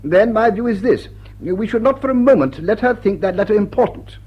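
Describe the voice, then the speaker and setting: An actor playing a pompous, self-righteous upper-class English gentleman in a period comedy, speaking formally and hesitantly. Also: high voice, expressive, fairly quick, husky, dull audio. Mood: pompous, stiff. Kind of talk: period stage comedy radio acting.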